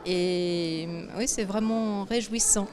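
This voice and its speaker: A woman visitor speaking slowly and drawn out in an interview. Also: medium pitch, over music.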